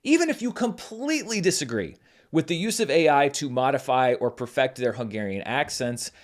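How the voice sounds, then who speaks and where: A man's voice: medium pitch, big pitch swings, moderate pace. A man hosting his own channel as a film critic, explaining a news story in scripted commentary.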